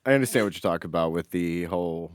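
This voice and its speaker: Male podcast co-host, deep register, dry sound, loose joking chat. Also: fast talker, clear, resonant tone, no room sound. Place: small office.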